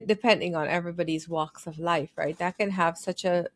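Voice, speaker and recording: A woman's voice: fairly low pitch, steady tone, fairly quick, no echo. A woman from Guyana hosting a remote podcast, asking long, winding, earnest questions. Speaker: podcast host. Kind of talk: conversational interview hosting. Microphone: remote call recording.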